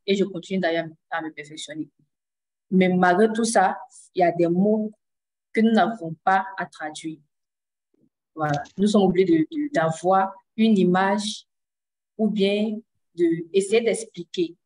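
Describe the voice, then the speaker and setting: A woman sign language interpreter answering interview questions in a webinar, explaining in a calm, measured way. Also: fairly low pitch, rough recording.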